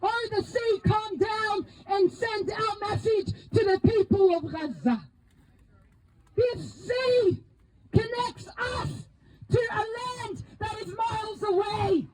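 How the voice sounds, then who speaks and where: A woman from Palestine, a native Arabic speaker, giving a loud, emphatic, impassioned speech as co-chair at an outdoor protest rally. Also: very high voice, slow, rough recording. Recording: outdoor rally, crowd and faint noise.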